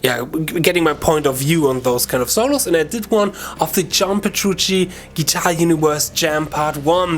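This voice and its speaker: Man, German accent, guitar teacher, slightly high voice, informal chatty delivery, halting self-corrections, music behind. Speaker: guitar teacher and video channel host. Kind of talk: casual video lesson talk to viewers.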